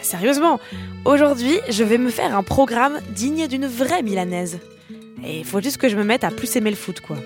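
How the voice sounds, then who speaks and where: A woman hosting a travel show, speaking in a chatty, playful narration. Her voice sits on the higher side, with faint music underneath. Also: expressive, moderate pace. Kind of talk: upbeat travel narration and questions.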